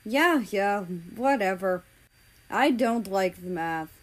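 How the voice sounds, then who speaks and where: A woman's voice: mid-range voice, expressive, takes it slowly. A woman voicing a grumpy, clever teenage boy who mumbles dismissively in a story reading.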